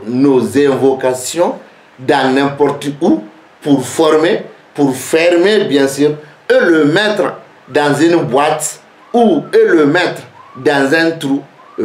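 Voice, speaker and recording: Man, non-native French speaker, geomancy lesson, unhurried delivery, roomy echo. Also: mid-range voice, darkish tone. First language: not French. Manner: slow, repetitive, rhetorical questions. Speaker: geomancy teacher. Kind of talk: spoken lesson to camera.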